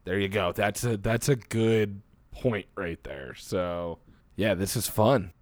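Low-voiced Philly-area male co-host. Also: slow pace, no room sound. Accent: Delaware County, Philadelphia area. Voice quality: slight vocal fry. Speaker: podcast co-host. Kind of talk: casual chat.

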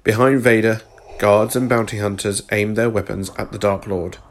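A man's voice: fairly low pitch, fairly even intonation, normal speed, very noisy. A man reading scripted narration for a space fantasy story, as its narrator. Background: music under the voice.

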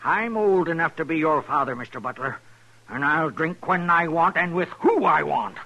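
A man's voice: a little high, moderate pace, pushed and bright. An actor playing a dignified, stubborn old grandfather and horse breeder, reserved and formal, in an old-time radio western. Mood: affronted. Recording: noisy old radio recording.

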